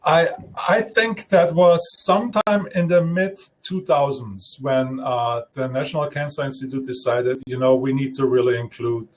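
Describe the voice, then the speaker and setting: A man's voice: mid-range voice, steady tone, poor audio. Austrian-accented man explaining steadily. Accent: Austrian German. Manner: explanatory, measured, some restarts. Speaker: guest speaker. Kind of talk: live-streamed talk.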